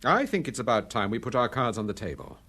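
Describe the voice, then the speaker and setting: A man playing a gentleman detective in a radio drama, courteous, calm and probing. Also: fairly low pitch, fast, exaggerated voice. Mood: firm, probing.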